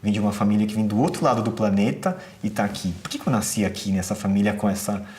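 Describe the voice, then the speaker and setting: A man's voice: lowish voice, quick pace, darkish tone. A young Brazilian man, an entrepreneur, speaking thoughtfully and earnestly in a documentary interview. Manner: thoughtful, earnest, many rhetorical questions.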